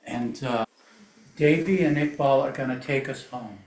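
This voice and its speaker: Man emceeing, chuckling, halting, noisy background, echo. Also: mid-range voice. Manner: chuckling, halting, loses his thread. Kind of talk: informal introductions. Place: meeting room with a live audience. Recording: echoey room from far off with background noise.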